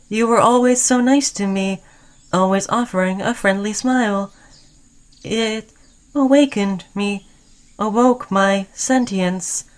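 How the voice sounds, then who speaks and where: Lovestruck sentient robot played by a woman, mid-pitched, clingy and earnest, pleading with a veiled threat, for an intimate sci-fi audio roleplay. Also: clear, resonant tone, close to an everyday voice. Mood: hurt. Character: obsessed, lovestruck sentient robot, clingy, caring yet menacing. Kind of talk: roleplay audio drama, intimate second-person monologue.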